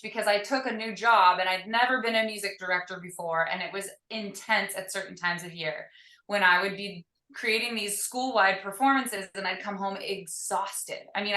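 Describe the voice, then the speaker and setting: Energetic woman in an echoey room. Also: medium pitch. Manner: energetic, upbeat, lots of fillers. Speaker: interview guest, musician. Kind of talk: casual video interview. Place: tiny shipping-container home.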